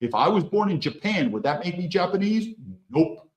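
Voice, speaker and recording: A man's voice: high-pitched, big pitch swings, moderate pace, dull audio. A man hosting his own channel, talking through a commentary monologue in a blunt, opinionated way and firing off rhetorical questions. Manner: rhetorical, peppers talk with questions. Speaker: host. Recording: echoey room.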